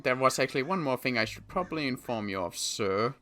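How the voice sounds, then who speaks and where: Flustered earnest soldier, acted by a man, bright forceful voice, lively pitch, stammering, game roleplay. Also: quick pace. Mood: nervous reporting. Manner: stammering, deferential but protesting. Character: earnest, flustered sniper reporting to his superior. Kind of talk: improvised video game roleplay. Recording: music behind the voice.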